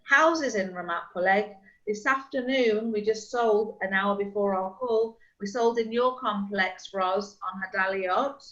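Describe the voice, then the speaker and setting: A middle-aged woman working as a real estate agent, chatting casually and explaining things with quick examples over a call. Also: mid-range voice, a bit bright. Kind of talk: casual video call chat. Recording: slightly dull lo-fi call audio.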